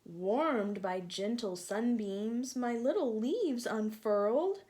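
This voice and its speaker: A woman reading a picture book aloud to young children, gently asking them questions and sometimes dropping to a soft whisper. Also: fairly high pitch, slow.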